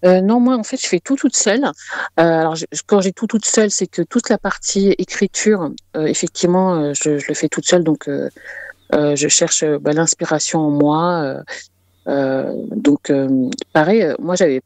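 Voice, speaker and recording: A woman's voice: low-pitched, moderate pace, warm tone, no room sound. A woman singer-songwriter talking casually as an interview guest, with hesitant, conversational phrasing.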